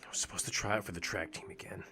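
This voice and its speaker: Casting: male actor as sullen injured teen lad, lowish voice, sarcastic and stubborn, audio drama with faint music behind. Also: steady tone, rapid-fire, creaky. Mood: bitter, weary. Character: bitter, sarcastic injured teenage boy, stubborn.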